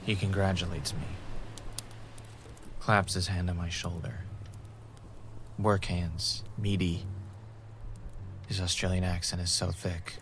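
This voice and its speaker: An actor playing a haunted, paranoid, defiant man in an audio drama, speaking intensely in short, halting phrases. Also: deep voice, level tone, a bit bright, slight background noise, full character voice. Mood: uneasy, haunted.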